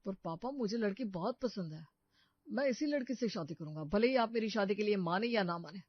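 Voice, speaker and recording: A man's voice: high-pitched, lively intonation, a little fast, no room sound. A man playing a protective, scheming young brother in a cartoon story, with an assured, earnest delivery. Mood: defiant. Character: protective, scheming young working-class brother posing as rich suitor. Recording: muffled dry studio recording.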